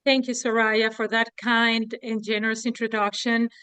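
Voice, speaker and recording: A woman from Venezuela and Lebanon, speaking as a guest presenter in an earnest, measured way with some hesitations. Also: fairly high pitch, little pitch movement, sharp tone, clear, resonant tone, dry recording. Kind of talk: formal presentation.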